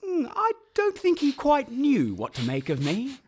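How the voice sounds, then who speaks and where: Wry old composer narrating, swooping pitch. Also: male voice, wildly swooping pitch, slightly rough, full character voice. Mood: wistful, wry. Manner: pompous, dryly ironic, measured. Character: wry, pompous elderly male composer recalling his orphan boyhood. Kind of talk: scripted radio play narration.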